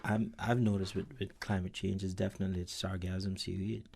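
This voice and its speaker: A man's voice: sits low, level tone, fast talker, creaky, darkish tone, breathy edge. A man speaking as a guest on a radio talk show, hesitant, with many stammers and restarts. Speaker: studio guest.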